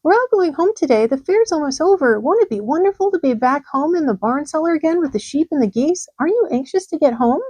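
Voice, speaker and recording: A woman voicing an excitable young male pig who pleads loudly and desperately. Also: moderate pace, no room sound, lightly acted, rough recording. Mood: earnest. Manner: high, loud, desperate pleading.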